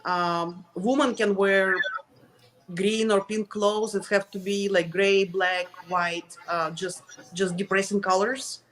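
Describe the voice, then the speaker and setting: Russian-accented woman, halting English, noisy recording. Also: medium pitch, fairly even intonation, a bit bright. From Russia, Soviet Union. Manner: halting, searching for words in non-native English. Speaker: interview guest. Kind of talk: casual interview talk.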